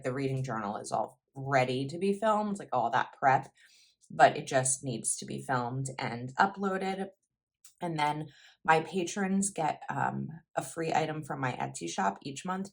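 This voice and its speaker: Planner vlogger, young woman, low voice, slightly froggy, rambling and self-correcting. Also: vocal fry. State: slightly froggy voice from heavy talking. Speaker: planner YouTuber. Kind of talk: casual planner vlog chat.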